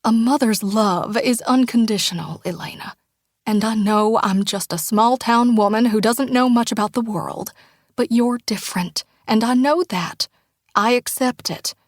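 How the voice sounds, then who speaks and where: A woman voicing a tender small-town mother who speaks in a soft, often breathy near-whisper, pleading and heartfelt. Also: on the high side, unhurried, no echo, studio quality. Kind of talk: audiobook character dialogue.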